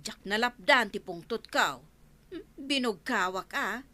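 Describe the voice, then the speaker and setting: An actress plays a grudge-holding village woman with an Ilocano accent in a comedy drama. She complains indignantly in a bright, rather high voice, recorded close and dry. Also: animated, slow pace, dry recording, exaggerated voice. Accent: Filipino, Ilocano.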